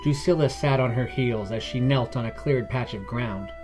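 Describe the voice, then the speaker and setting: A man's voice: medium pitch, normal speed, loud music behind the voice. A man reading scripted fantasy narration as a storyteller.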